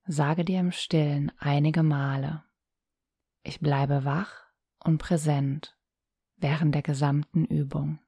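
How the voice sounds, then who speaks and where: A woman with a soft, breathy voice calmly guiding a relaxation meditation, soothing and instructional, with long pauses between phrases. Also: deep voice, no room sound, studio quality. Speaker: yoga nidra guide.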